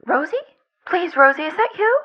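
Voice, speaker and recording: High, anxious, emotional mother acted by a woman. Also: expressive, moderate pace, muffled recording. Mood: frantic, relieved. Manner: frantic, pleading. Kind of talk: amateur audio fiction acting.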